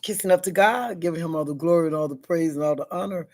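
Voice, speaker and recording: A woman in her sixties with a deep, heavy voice, chatting casually in a vlog, rambling with many fillers and questions to herself. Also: fast, darkish tone. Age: 60s. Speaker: vlogger. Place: at school.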